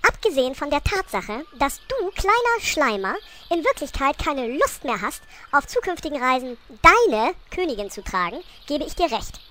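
Woman playing a high, bright, bossy gnome queen. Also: big pitch swings, normal speed, few pauses, heavily acted. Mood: scheming. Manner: sassy, commanding, exasperated. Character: bossy little pink gnome queen, scheming and flippant. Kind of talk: comic audio play acting.

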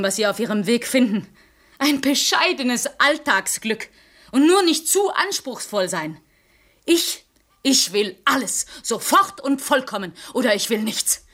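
An actress playing a defiant young princess in a classical radio drama, declaiming with intense contempt. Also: female voice, mid-range voice, fairly bright tone. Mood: bitter contempt, then uncompromising. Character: defiant, scornful young princess who demands everything or death.

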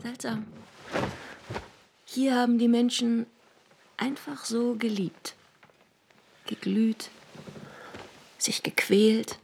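An actress playing an enigmatic, languid woman from a regimented future in a German radio play, with halting, pensive phrasing. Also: a little high, steady tone, vocal fry, slight background noise, full character voice. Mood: quiet, musing. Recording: radio drama with loud music bed. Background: prominent music.

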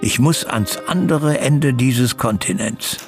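Male radio-play role: wounded revolutionary exile, declamatory, unhurried delivery over loud music. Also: mid-range voice, lively intonation. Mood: brooding. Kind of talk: German radio play acting.

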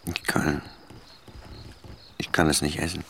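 A man's voice: a bass voice, level tone, measured pace, gravelly, bright, forceful tone, slightly rough, exaggerated voice. An actor in a German radio drama playing a lonely, melancholy man haunted by loss, speaking hesitantly and yielding easily. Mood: dejected, hesitant.

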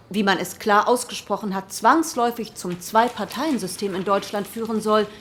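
A woman's voice: medium pitch, lightly acted. A woman playing a fervent postwar stateswoman. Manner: earnest, rhetorical oratory. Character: female centrist politician giving a 1948 parliamentary speech. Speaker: parliament member. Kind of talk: historical radio drama acting.

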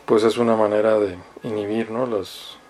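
A man's voice: fairly low pitch, flat delivery, normal speed, background noise. A man from northern Mexico with a Mexican accent, lecturing as a law professor in a didactic, hesitant way, often seeking agreement with small questioning tags. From Mexicali, Baja California, Mexico. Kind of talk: explanatory law lecture, reading articles aloud and commenting.

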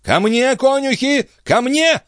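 A flustered male post-station keeper from a storybook, put on by a man, easily outraged and alarmed. His protests climb into a high, shrill shout. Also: wildly swooping pitch, moderate pace, no room sound, clean studio sound. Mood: frantic, outraged.

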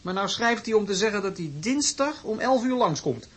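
An actor in a radio play playing a jaunty, scheming young student who playfully poses as a grand professor. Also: male voice, high-pitched, animated, normal speed, background noise. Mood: amused.

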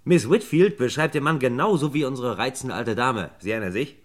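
An actor playing a businesslike, courteous police detective with a probing, thoughtful manner, in a vintage German radio crime play. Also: male voice, medium pitch, a little fast, natural voice. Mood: alert, businesslike. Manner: polite, probing, hesitating while thinking.

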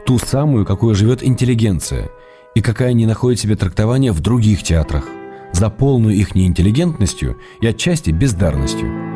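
An actor reading as a wry affectionate writer. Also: male voice, on the low side, lightly acted. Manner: measured, dryly humorous. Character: wry affectionate writer writing to his actress wife. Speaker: letter reader. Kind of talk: radio drama letter reading.

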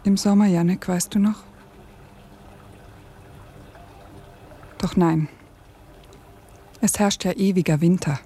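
A woman's voice: on the low side, steady tone, normal speed, warm tone, slightly rough. An actress playing a tender, anguished young revolutionary woman in a German radio drama, intense and pleading, often dropping to a whisper. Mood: wistful, sad.

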